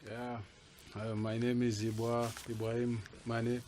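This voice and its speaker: A man, answering interview questions hesitantly with many pauses. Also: mid-range voice, monotone, a little mellow, a little hiss or hum. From France. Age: 20s. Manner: hesitant, many pauses and fillers, simple phrasing. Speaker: MMA fighter guest. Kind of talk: interview answers in halting English.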